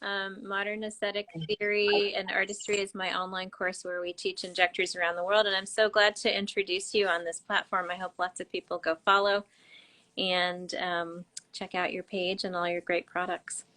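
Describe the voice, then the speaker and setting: A woman hosts a live chat over a video call, bubbly and eager. Her pitch sits in the middle, and her lively talk is often broken by laughs. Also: moderate pace, rough recording. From United States. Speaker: host doctor. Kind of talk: live online interview.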